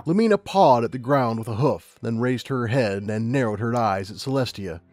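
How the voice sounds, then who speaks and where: A man's voice: medium pitch, normal speed, darkish tone, no room sound, soft background music. A man reading scripted audiobook narration as the narrator of a story.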